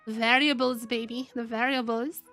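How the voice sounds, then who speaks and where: A high-pitched female streamer chats casually through a game with clear music playing behind her. She is excitable and exclamatory, voicing her thoughts as they come. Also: normal speed. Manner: excitable, exclamatory, thinking aloud. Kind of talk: live gameplay commentary, casual chat.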